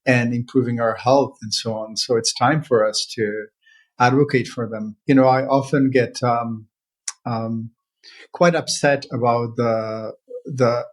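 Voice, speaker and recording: A man hosts a conversation, his voice husky and slightly breathy. He talks earnestly and haltingly, circling back with fillers and restarts as he works through his thoughts. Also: medium pitch, steady tone, low-quality recording. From Czech Republic. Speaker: veterinarian podcast host. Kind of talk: casual interview conversation.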